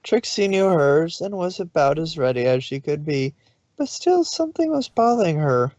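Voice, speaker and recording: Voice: medium pitch, big pitch swings, moderate pace, darkish tone, dry recording. Dry, slightly muffled story narrator.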